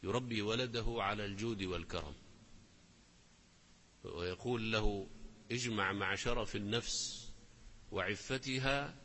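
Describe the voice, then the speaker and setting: Man slowly explaining verse in a lesson. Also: fairly low pitch, pushed and bright, in a large hall, a little hiss or hum. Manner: slow, deliberate recitation of verses with rising emphasis. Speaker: teacher explaining poetry.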